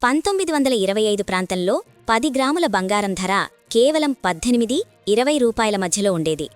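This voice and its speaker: A woman's voice: fairly high pitch, sing-song, a little fast, faint music behind. A woman narrating a scripted news report.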